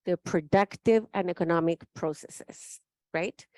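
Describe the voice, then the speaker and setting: A woman's voice: on the low side, measured pace, darkish tone, dry recording. A woman from Chile, speaking as a panelist in a thoughtful, emphatic way and often checking in with "right?". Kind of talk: spoken forum remarks.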